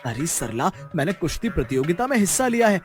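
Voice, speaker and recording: A foolish, eager village husband in a cartoon tale. His voice is high for a man, earnest and simple-minded, with music playing behind. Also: big pitch swings, fast talker. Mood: proud, clueless. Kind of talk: Hindi cartoon moral story acting.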